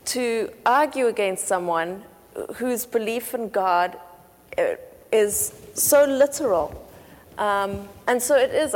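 A woman speaking on a panel, wry and hedging, with frequent "sort of" and "you know". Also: mid-range voice. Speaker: author on a panel. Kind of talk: panel discussion. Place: lecture hall. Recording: long hall reverb.

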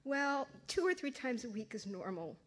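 An awkward middle-aged mother fumbling through answers she would rather not give, as a woman reads her lines. She sounds hesitant and uneasy, trying to stay calm in a storybook reading. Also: a little high, normal speed, pitched above the performer's own voice. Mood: flustered.

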